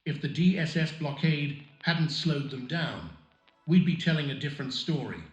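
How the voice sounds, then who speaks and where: Man as blunt sergeant, highish voice, echoey. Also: animated, moderate pace, lo-fi sound, faint music behind, natural voice. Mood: grim, blunt. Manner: blunt, grim. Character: gritty, blunt battle-hardened first sergeant. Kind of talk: scripted radio drama interview.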